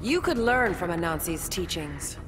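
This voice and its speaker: A woman's voice: on the low side, very animated, normal speed. A woman voicing a proud young superheroine in a video game, confident, dry and taunting. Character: proud, defiant animal-powered superheroine, young adult. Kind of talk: video game dramatic acting. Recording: loud music under the dialogue.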